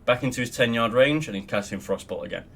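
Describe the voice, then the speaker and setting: A man's voice: mid-range voice, animated, quick pace, creaky, a little hiss or hum. A young Australian man casually talking through a game tutorial at his desk, rambling with lots of ums and the odd swear word. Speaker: guild member teaching raid logs. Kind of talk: casual screen-recorded tutorial.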